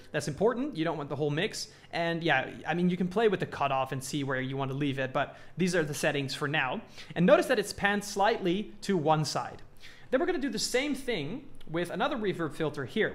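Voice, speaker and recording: Higher-voiced man teaching casually. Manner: informal, thinking aloud while demonstrating. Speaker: music production instructor. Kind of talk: casual tutorial narration.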